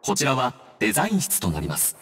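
A man's voice: mid-range voice, huge pitch swings, fast talker, a bit bright, full character voice. A man voicing a polite automated robot guide in a game, giving formal, courteous recorded announcements. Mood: politely welcoming. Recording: distant, noisy automated playback sound.

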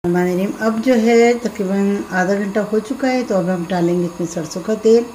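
A woman's voice: mid-range voice, moderate pace, mellow. A middle-aged woman hosting a home cooking demonstration, giving casual, chatty recipe instructions in a kitchen. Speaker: home cooking show host. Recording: roomy kitchen echo, background noise.

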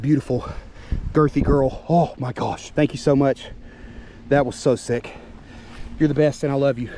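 A young man vlogging while fishing outdoors by the water, excitable and quick to laugh. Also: fairly high pitch, slight background noise. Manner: excitable, shouting and laughing at catches.

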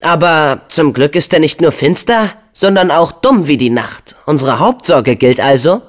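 A young man voicing an upbeat, brave and earnest teenage boy hero in a video game, with an expressive, youthful delivery. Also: fairly high pitch, measured pace. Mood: confident.

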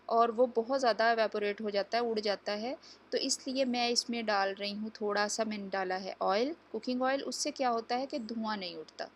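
A woman hosting a home cooking tutorial, explaining each step to viewers in a chatty, instructive way. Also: on the high side. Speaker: cooking channel host. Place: home kitchen.

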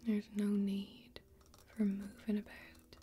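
A young woman playing a soothing, inviting fantasy tavern keeper in a soft, breathy whisper, with deliberate, lingering phrasing, as ASMR roleplay. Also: mid-range voice, monotone, a little hiss or hum, natural voice. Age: young adult.

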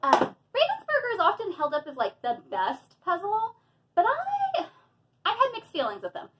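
A woman in her thirties chatting casually and excitedly while unboxing things in a small room at home, with frequent fillers. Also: very high-pitched, normal speed. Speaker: hobby vlogger.

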